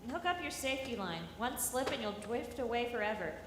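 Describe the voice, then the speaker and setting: A woman playing a brisk, bossy spacewalker in a live radio drama, clipped and commanding. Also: fairly high pitch, lively intonation, a bit bright. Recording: echoey hall, long reverb, faint noise.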